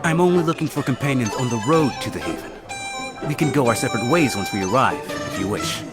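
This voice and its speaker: An actor playing a courteous, wealthy official in a video game, with a polite and formal manner. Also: male voice, medium pitch, animated, fast, close to an everyday voice. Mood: earnest, measured. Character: courteous, wealthy male official seeking travel companions. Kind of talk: video game cutscene acting. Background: background music.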